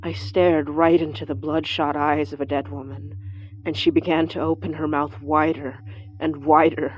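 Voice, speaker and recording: Low-voiced woman investigator of the supernatural, acted. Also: little pitch movement, normal speed, highs cut off, lightly acted. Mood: haunted, tense. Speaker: narrator and lead character. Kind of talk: first-person horror audio drama narration.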